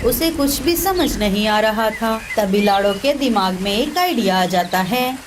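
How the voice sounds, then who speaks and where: A woman reads scripted narration for a children's story as its storyteller. Clear noise and ambience run behind her voice. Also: a little high, lively intonation, unhurried.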